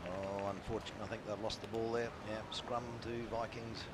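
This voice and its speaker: A man's voice: on the low side, little pitch movement. A man with a New Zealand accent giving upbeat live rugby commentary with plenty of banter. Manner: upbeat, quick play-by-play with banter. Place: outdoor stadium commentary position. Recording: outdoor crowd and traffic noise.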